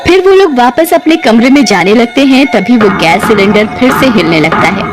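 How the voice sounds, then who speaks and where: A woman narrates a scripted cartoon ghost story. Her voice sits high and moves up and down expressively, with music clearly behind it. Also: animated, moderate pace.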